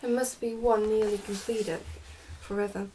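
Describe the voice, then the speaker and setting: A woman reading a storybook aloud in a soft, careful, partly whispered ASMR style. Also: medium pitch, flat delivery, normal speed, distant mic, a little hiss or hum, low-quality recording.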